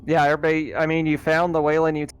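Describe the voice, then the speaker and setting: A man's voice: on the high side, monotone, fast, clear, resonant tone, faint music behind. A man chatting casually as game master of a tabletop roleplaying session.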